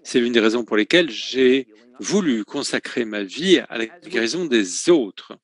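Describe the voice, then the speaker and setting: Man interpreting with hesitant, measured delivery. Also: mid-range voice, expressive. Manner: measured, with hesitations and self-corrections. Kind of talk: French voice-over of a conference talk.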